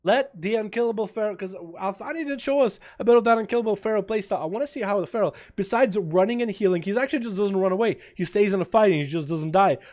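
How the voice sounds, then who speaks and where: A man's voice: high voice, quick pace, hardly any pauses, darkish tone. A young man from Russia with a slight Russian accent, hosting a live game stream and hollering and swearing as he thanks viewers.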